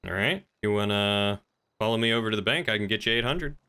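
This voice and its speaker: Man, game streamer, bright crisp voice, medium pitch, wry, measured, casual roleplay chat. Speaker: game streamer playing a crime boss.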